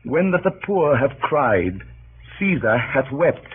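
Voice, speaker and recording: An actor playing a grieving, cunning Roman orator rousing a mob in declamatory, deliberate 1930s radio Shakespeare style. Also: male voice, mid-range voice, very animated. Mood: solemn, measured. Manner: declamatory, deliberate, swinging from hushed to impassioned. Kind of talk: 1930s radio Shakespeare acting, funeral oration. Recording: scratchy, tinny 1930s radio broadcast.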